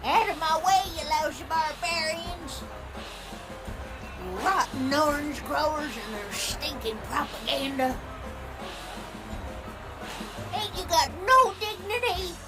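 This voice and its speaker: Cartoon granny pony: high, cantankerous, folksy drawl, loud music behind. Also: female voice, a little slow, low-quality recording. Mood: indignant. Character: grumpy old female farm pony granny. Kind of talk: cartoon comic dub.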